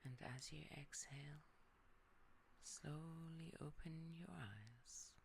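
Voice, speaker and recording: A yoga nidra guide leading a calm relaxation meditation, speaking softly and often sinking into a whisper. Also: medium pitch, slow pace, creaky, a little hiss or hum.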